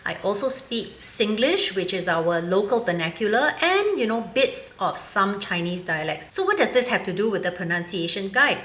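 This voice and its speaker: A woman's voice: mid-range voice, fairly bright tone. A woman in her fifties from Singapore, giving her frank, wry opinion in a commentary vlog. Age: 50s. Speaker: vlogger. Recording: roomy echo.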